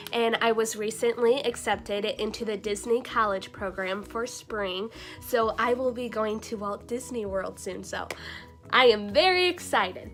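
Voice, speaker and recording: A woman's voice: on the high side, a bit bright. A young adult woman chatting casually to her vlog audience, bubbly and talkative, a little nasal from a recent cold. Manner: bubbly, chatty, frequent fillers and laughs. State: slightly nasal from recovering cold. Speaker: vlogger.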